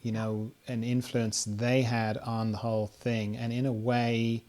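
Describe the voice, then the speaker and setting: A man hosting a podcast in a casual chat style, putting questions in an informal, tentative and halting way. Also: mid-range voice, level tone, a little mellow. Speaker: podcast host.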